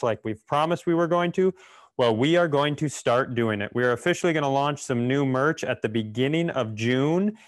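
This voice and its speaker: A man with a clear, smooth voice talks casually as a comedy podcast co-host. His delivery is rambling and self-deprecating, at an ordinary middle pitch. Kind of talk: casual comedic banter and announcements.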